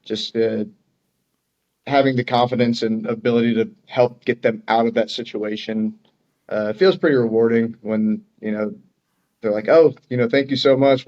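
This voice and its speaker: A man's voice: mid-range voice, fairly even intonation, normal speed, a little mellow, muffled recording. An American man talking as a podcast guest in casual chat, easygoing, plain-spoken and loosely phrased. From Dayton, Ohio, USA. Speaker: podcast guest, air traffic controller.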